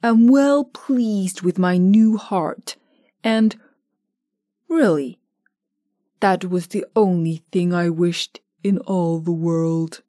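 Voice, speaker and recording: A woman voicing a gentle, tender-hearted male tin man in a storybook reading, speaking softly and politely. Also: fairly low pitch, takes it slowly, dry recording, clean studio sound. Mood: content.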